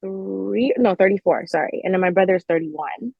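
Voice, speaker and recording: A woman's voice: medium pitch, fairly quick, creaky, no echo, narrow, tinny audio, faint music behind. A woman in her twenties from Nigeria who grew up mostly in Canada, chatting casually as a guest in a podcast interview. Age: 20s. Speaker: podcast guest, fashion designer.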